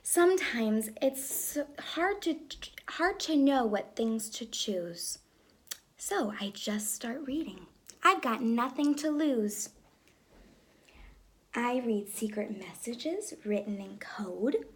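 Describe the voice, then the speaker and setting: Slow, high-voiced woman reading to children. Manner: expressive, addressing young children. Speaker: schoolteacher reading a picture book aloud. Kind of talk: children's story read-aloud. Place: room at home with reading chair.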